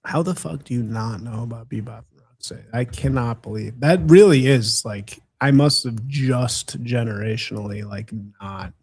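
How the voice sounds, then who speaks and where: A man in his thirties hosting a podcast, chatting casually with friends, excitable and incredulous, laughing often and swearing freely. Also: medium pitch, normal speed, slightly rough. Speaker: podcast host. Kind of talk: casual banter among friends.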